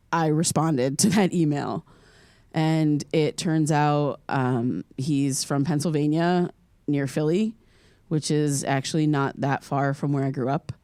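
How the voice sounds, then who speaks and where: Earnest young American podcaster, closely miked. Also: female voice, low voice, warm tone, smooth, no room sound. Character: earnest, curious young podcaster haunted by recurring nightmares. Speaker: podcast host character. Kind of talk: audio drama in the form of a confessional podcast.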